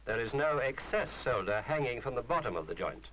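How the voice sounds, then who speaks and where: A man's voice: mid-range voice, big pitch swings, brisk, a bit bright, a little hiss or hum, low-quality recording. A man reading a scripted instructional film narration in a formal, precise, didactic manner.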